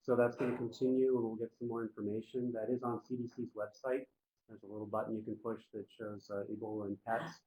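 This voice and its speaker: A man's voice: on the low side, little pitch movement, fast, lo-fi sound, a little hiss or hum. A man presenting an informal public health briefing on a conference call, explaining in plain, folksy wording.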